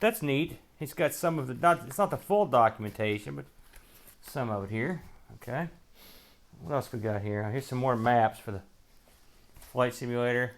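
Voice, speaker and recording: A man's voice: medium pitch, lively intonation, normal speed, long pauses. Wry man hosting a casual unboxing. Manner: wry, joking, folksy slang; thinks aloud while handling items. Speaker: host of a retro gaming show.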